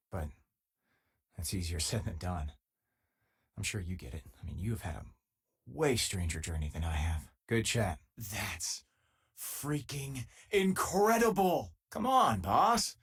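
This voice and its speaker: A man voicing an easygoing, wry spacefaring crewmate in a video game, with a husky voice and a casual, conversational delivery. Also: lowish voice, very animated, measured pace, pauses often, full character voice. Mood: relaxed, wry, then animated, teasing. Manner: casual, conversational, dryly joking. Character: easygoing, wry male spacefaring crewmate, loyal and self-deprecating. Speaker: game companion character. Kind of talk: video game voice lines, naturalistic acting.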